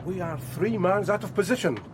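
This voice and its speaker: A woman's voice: fairly low pitch, fairly quick, noisy background, lightly acted. A woman playing a hard, commanding militant leader in a radio drama, curt and insistent. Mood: commanding, angry.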